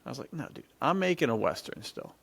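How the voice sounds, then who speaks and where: Young male co-host, mid-pitched with vocal fry, enthusiastic slangy storyteller. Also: very animated, quick pace, no echo. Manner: slangy, enthusiastic, says "dude" often. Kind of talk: casual chat, storytelling.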